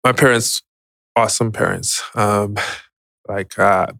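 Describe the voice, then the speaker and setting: A man tells a personal story as an interview guest, reflective and hesitant, pausing often. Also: fairly low pitch, fairly even intonation, gravelly, bright, forceful tone, no echo, studio quality. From Zimbabwe. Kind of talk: personal testimony, conversational storytelling.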